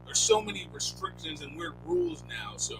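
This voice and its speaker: A man co-hosting a casual sports podcast, talking expressively with sharp jumps in pitch on key words. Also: wildly swooping pitch, moderate pace, creaky, soft background music. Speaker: podcast co-host. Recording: noisy line with hum.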